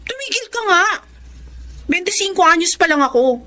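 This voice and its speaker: Casting: bossy, big-hearted Filipino breadwinner sister, mid-twenties, high voice, emphatic radio-drama delivery. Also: female voice, big pitch swings, normal speed, noisy background. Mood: excited, ambitious. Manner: emphatic, scolding or boasting, mixes English into Tagalog. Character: driven 25-year-old businesswoman, bossy, caring breadwinner sister.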